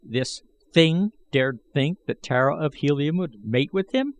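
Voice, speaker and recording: A man's voice: a little high, lively intonation, measured pace. A man voicing a bold, threatening warrior in terse, formal, demanding speech, as an audiobook character. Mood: stern.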